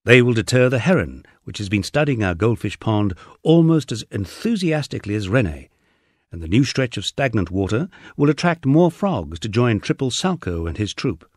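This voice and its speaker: Husky, low-voiced male storyteller with lively intonation. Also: moderate pace, no room sound, studio quality. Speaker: audiobook narrator. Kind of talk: scripted first-person memoir narration.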